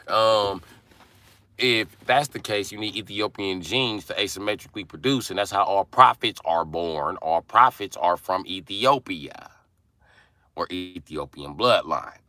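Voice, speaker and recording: A young adult man ranting angrily and forcefully on a livestream from inside his car. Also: low voice, dry recording. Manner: angry, forceful, profane ranting. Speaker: livestream host. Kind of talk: angry profane livestream rant.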